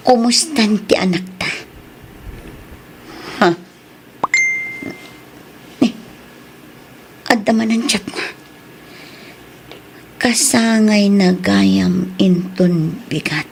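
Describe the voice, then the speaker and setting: Devoted Ilocano wife, noisy recording. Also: female voice, medium pitch, vocal fry. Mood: puzzled, suspicious. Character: devoted young wife and mother, cheerful and grateful. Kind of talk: Ilocano radio drama acting.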